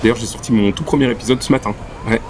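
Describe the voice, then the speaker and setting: A man's voice: mid-range voice, very fast, a little hiss or hum, close to an everyday voice. A man playing a nervous, eager young recruit on his first day, stammering and repeating words. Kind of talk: amateur audio drama acting.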